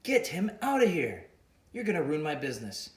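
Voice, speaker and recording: A man's voice: mid-range voice, expressive, a little fast, echoey room, pitched above the performer's own voice, lightly acted. A man reading an audiobook, voicing a suspicious male jungle businessman with a thick accent and an urgent manner.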